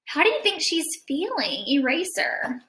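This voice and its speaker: A woman's voice: sits high, big pitch swings, unhurried, pushed and bright, slight background noise. An American woman, around her thirties, reading a picture book aloud to children and chatting about it as a teacher would. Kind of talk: expressive picture-book read-aloud with comments and questions to children. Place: living room at home, indoors. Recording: roomy indoor home recording.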